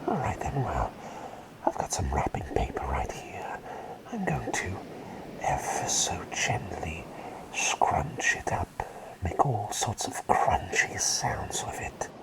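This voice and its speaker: Man voicing a whimsical male plague doctor, ASMR roleplay, breathy whisper, gravelly creak, forgetful, courteous, hesitant. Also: sits low, huge pitch swings, slow, full character voice, poor audio. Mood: gentle, whimsical. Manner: rambling, polite, hesitant, repeats phrases softly. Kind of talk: soft-spoken ASMR roleplay.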